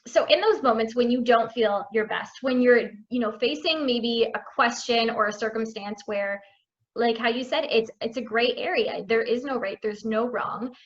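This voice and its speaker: An upbeat woman hosts a casual interview, chatting freely and laughing easily. Her voice sits a little high. The sound is somewhat dull and lo-fi. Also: moderate pace. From Italian family background. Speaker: podcast host.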